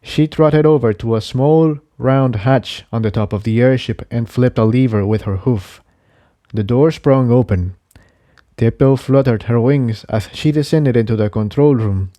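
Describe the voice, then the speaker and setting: A man's voice: mid-range voice, a little slow, dark tone, dry recording. A man reading a scripted fantasy adventure story as narrator. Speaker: fan fiction narrator.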